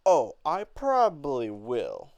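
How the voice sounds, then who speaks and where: A man voicing an angry, defiant young woman in an amateur dramatic play reading, with halting, emphatic delivery. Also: medium pitch, wildly swooping pitch, darkish tone, no echo, voice pushed up, exaggerated voice.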